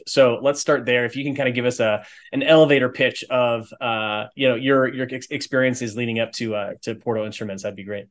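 A man hosting a podcast, reading a scripted intro and then chatting with a guest in a brisk, upbeat way. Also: mid-range voice, fairly bright tone. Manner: brisk and upbeat, with fillers and restarts when unscripted. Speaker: podcast host. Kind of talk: scripted intro read, then casual interview.

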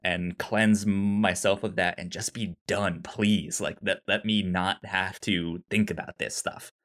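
A man talking casually about a film as a podcast co-host, halting and often stammering on repeated syllables. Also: low voice, steady tone, fairly bright tone.

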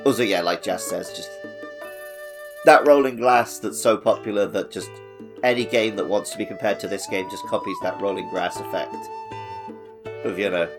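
A man's voice: on the low side, moderate pace, pauses often, over music. A man co-hosting a podcast, casually discussing a video game. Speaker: podcast host.

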